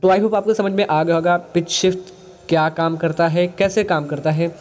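A man with an Indian accent explaining software as a tutorial host, mixing in English phrases. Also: on the high side, steady tone, normal speed, slight background noise.

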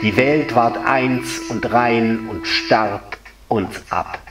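A man's voice: medium pitch, drawn-out words. A man voicing an anguished, lovelorn female water spirit in a solemn, lyrical dramatic monologue. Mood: mournful. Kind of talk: literary dramatic monologue recitation. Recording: echoey room, distant mic, music underneath. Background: music.

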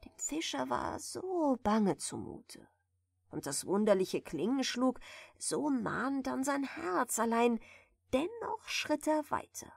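A woman softly reading a bedtime fairy tale in a gentle, soothing manner, at times close to a whisper. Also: high-pitched, sing-song, slow, creaky, breathy edge, dry recording. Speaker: audiobook reader, storyteller.

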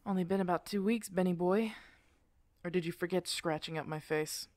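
A woman playing a guarded, protective lone werewolf in an intimate ASMR audio roleplay, earnest and halting. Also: fairly low pitch, a little mellow, no room sound, natural voice. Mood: wary, defiant. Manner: earnest, halting, weary sighs.

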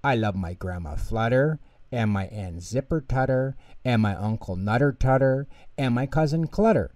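A man voicing a small, excitable male mouse in a children's storybook, eager and affectionate, repeating himself and sputtering. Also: medium pitch, moderate pace, dry recording, natural voice. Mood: excited, loving. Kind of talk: children's storybook character reading.

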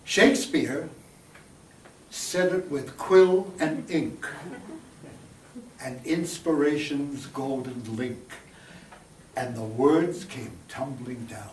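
A man in his seventies reciting poetry at a lectern with deliberate, dramatic phrasing. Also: medium pitch. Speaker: poetry reader. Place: small meeting room, indoors. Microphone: lectern mic, filmed by distant camera. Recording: roomy, distant mic, background noise.

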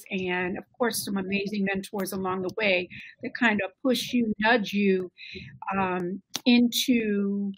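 A woman's voice: medium pitch, a bit bright, a little hiss or hum, poor audio. A woman who trained as a nurse and became a healthcare leader, speaking reflectively on a video-call panel with frequent ums. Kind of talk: panel self-introduction. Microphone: webcam on video call.

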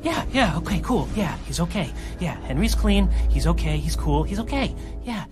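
A desperate, pleading prisoner in a motion comic drama. He stammers and repeats himself in nervous babble, his pitch swinging wildly. Heavy music plays behind his voice. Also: sing-song, moderate pace. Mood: nervous, placating. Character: frightened, pleading male prisoner, jittery and desperate. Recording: voice over loud dramatic music.

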